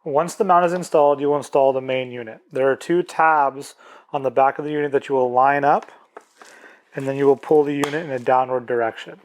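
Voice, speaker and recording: A man's voice: medium pitch, steady tone, a little fast. A man presenting an instructional product tutorial, explaining plainly step by step.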